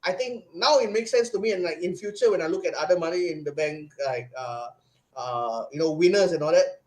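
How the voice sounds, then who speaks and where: A young man with a Singaporean accent, excitedly recounting a live event as a podcast guest, with lots of restarts and fillers. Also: fairly high pitch, a little fast, poor audio. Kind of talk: casual chat.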